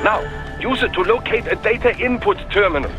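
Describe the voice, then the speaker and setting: A man acting as an eager, brainy tech adviser in a game. His very high voice gives instructive, enthusiastic briefings over a music bed. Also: brisk, muffled recording, natural voice. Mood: eager, pleased. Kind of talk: video game radio-call acting.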